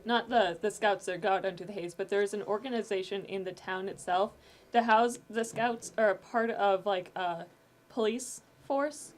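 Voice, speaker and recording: A woman with a medium-pitched voice explains her fictional world-building in casual conversation. She comes across as an enthusiastic guest creator talking through her ideas. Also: moderate pace. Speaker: guest, audio drama creator.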